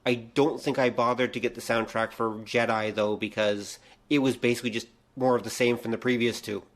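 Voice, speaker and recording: A man's voice: mid-range voice, flat delivery, brisk. A middle-aged man talking casually as a podcast host in conversation.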